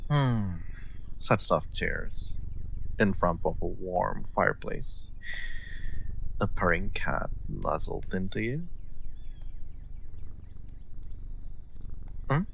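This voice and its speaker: A man playing a gentle, wry nobleman in an intimate audio roleplay, soft-spoken and a little hesitant, with formal wording. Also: sits low, very animated, takes it slowly, vocal fry, pauses often, slight background noise, full character voice, rough recording. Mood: gentle, reassuring. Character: gentle, wry young nobleman comforting a guest. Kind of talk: intimate scripted audio roleplay, addressed to listener.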